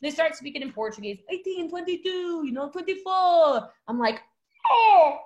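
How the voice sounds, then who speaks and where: A woman in her thirties, a guest telling a story in casual chat, animated and acting out quotes with lots of fillers. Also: very high voice, moderate pace, highs cut off.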